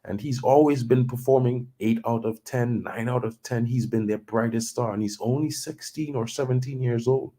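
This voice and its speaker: A man's voice: medium pitch, gravelly, warm tone, slightly rough. A man from Trinidad and Tobago hosting a casual football news show, chatty and loose, thinking aloud with plenty of "you know".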